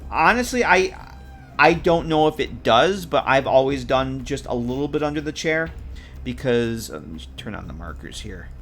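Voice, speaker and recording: A man's voice: medium pitch, animated, normal speed, a bit bright, soft background music. Tired, congested man thinking aloud. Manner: rambling, thinking aloud, self-correcting. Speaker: modding streamer. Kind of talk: casual live-stream commentary while working.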